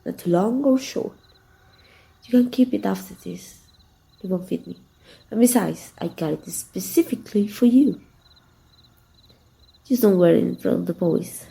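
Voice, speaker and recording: A woman's voice: medium pitch, measured pace, creaky, pauses often, warm tone. A woman playing a flirtatious, confident villain who is secretly fond of her rival, in an intimate, teasing ASMR roleplay. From Mexico. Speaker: amateur voice actor.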